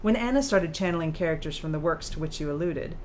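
A woman's voice: low voice, fast talker, a little mellow, a little hiss or hum, natural voice. A woman playing a composed, insistent female author who mentors in audiobook dialogue with a precise, didactic, challenging manner.

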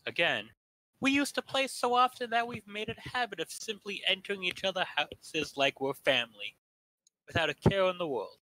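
A man's voice: sits high, very animated, normal speed, sharp tone, no echo, poor audio. A man reading scripted first-person narration from a visual novel as part of a group staged reading.

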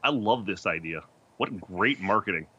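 A man's voice: deep voice, animated, normal speed, sharp tone, breathy edge, lo-fi sound. A man in his thirties, a podcast host joking and laughing as a debate guest over a video call. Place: small indoor room.

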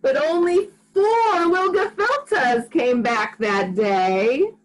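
A woman in her forties reading a picture book aloud to young children in an expressive, sing-song, deliberate way, recorded at home on a computer mic. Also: high-pitched, low-quality recording. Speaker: rabbi reading to preschoolers. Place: small furnished room at home. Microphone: computer microphone on a video call.

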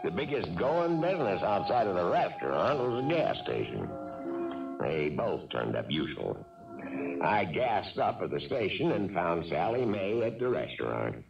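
A man acting a gruff, folksy, plainspoken baseball team manager in a 1970s radio drama. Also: sits low, very animated, normal speed, over loud music, exaggerated voice. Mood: wry narrating. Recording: dull 1970s radio recording, distant, crowd.

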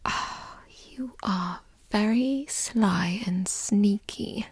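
Woman acting a slow, breathy whispering teasing girlfriend. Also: medium pitch, no echo, full character voice. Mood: tender teasing.

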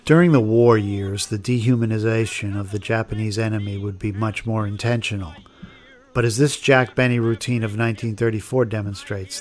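Male documentary narrator, scripted read, average pitch.

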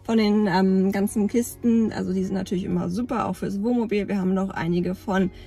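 A woman's voice: mid-range voice, fairly even intonation, fairly quick, mellow, breathy edge, faint music behind. A woman in her thirties chatting casually in a vlog from inside a parked car, rambling with lots of fillers, filmed at arm's length. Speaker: camping vlogger. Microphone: phone or camera at arm's length.

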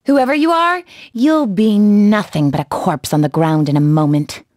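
A woman's voice: mid-range voice, normal speed, darkish tone, no room sound, clean studio sound, natural voice. A woman voicing a confident, menacing female hedgehog fighter in video game battle clips, with a cool, taunting, theatrical manner. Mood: cool, threatening.

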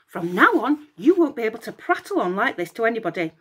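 A woman voicing an impatient, cross goddess queen in a storybook, sharp and demanding. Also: mid-range voice, very animated, unhurried, heavily acted. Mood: furious. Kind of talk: storybook reading voice.

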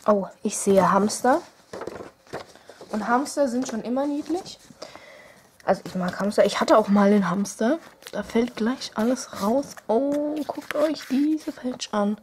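Slow, mellow-voiced young woman unboxing stickers. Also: mid-range voice. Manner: chatty, hesitant, many fillers, giggly asides. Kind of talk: casual unboxing chat. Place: indoors at a desk, home room.

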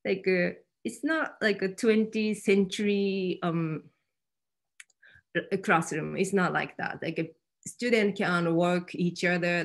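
A woman with a Japanese accent presents informally in non-native English. Her voice sits a little low and has a noticeable creak. She speaks haltingly, leaning often on "like" as she builds each sentence. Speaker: fab lab presenter. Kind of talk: informal presentation at an online meetup. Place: online video meeting.

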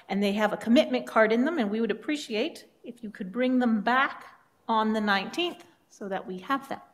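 A woman making quick, chatty announcements at a church lectern, with small slips. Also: a little high. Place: church sanctuary. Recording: long church reverb.

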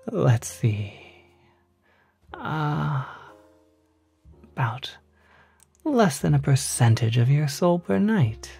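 A man playing a polite, quietly sinister demon concierge in an ASMR roleplay, speaking softly in half-whispers with gentle, hesitant persuasion. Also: medium pitch, full character voice. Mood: coaxing. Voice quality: soft, breathy, half-whispered.

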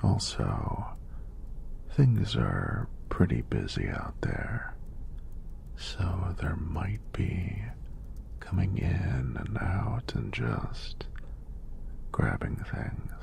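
A man playing a friendly, teasing EMT in a soft-spoken ASMR roleplay, with a deep, breathy, gravelly voice and an unhurried, reassuring manner. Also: sing-song, heavily acted. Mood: calm, reassuring. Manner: unhurried, reassuring, lightly playful. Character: friendly, teasing young male EMT doing a screening.

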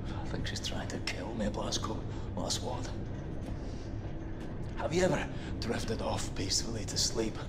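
An actor playing a gruff, weary Scottish resistance commander who grumbles and swears in a video game. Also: male voice, expressive, unhurried, in a whisper. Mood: weary, aggrieved. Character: gruff, foul-mouthed, weary resistance commander, grown man. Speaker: resistance fighter. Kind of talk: dramatic game cutscene acting. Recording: loud background ambience and rumble.

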